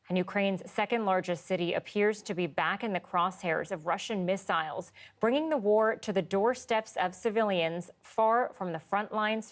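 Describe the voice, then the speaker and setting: Formal woman news anchor, lowish voice. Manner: steady, formal. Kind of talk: scripted news reading.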